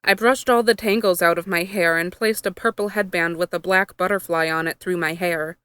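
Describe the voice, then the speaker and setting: A woman's voice: on the low side, steady tone, normal speed, fairly bright tone, clean tone, dry recording. A woman narrating a first-person story, reading from a script as she goes. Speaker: fan fiction reader.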